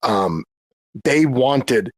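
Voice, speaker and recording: A man's voice: medium pitch, lively intonation, drawn-out words, dry recording. Rambling New man, dry sound. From New York. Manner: rambling, hesitant, many fillers. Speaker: guest. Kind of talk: casual chat on a live audio room.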